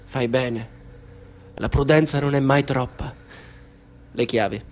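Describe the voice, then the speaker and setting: A polite stranger speaks softly and with measured calm, in an ordinary mid-range male voice, played by an actor. It is a radio drama, and there is a quiet menace under his courtesy. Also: mid-range voice, natural voice. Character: calm, soft-spoken stranger, quietly sinister.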